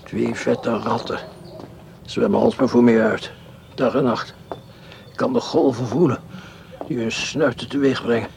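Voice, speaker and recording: A man's voice: mid-range voice, measured pace, gravelly, long pauses, darkish tone, a little hiss or hum. A man acting in a radio play as a scheming, cynical educated doctor who muses darkly to himself. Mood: dark, haunted.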